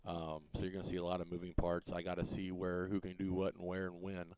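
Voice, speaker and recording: A high school baseball coach talking in a deep, quite flat voice, rattling along quickly and matter-of-factly with plenty of "uh" and "you know". A faint hiss of noise sits under his voice. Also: clean tone, dry recording. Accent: Missouri. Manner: relaxed, matter-of-fact, lots of "uh" and "you know". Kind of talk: casual pregame interview. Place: press box at a ballfield.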